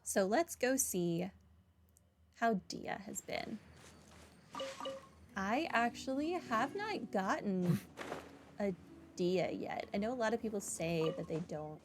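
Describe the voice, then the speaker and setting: Slow-paced woman doing casual game commentary. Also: medium pitch. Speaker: gaming video host. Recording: soft music behind. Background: game music.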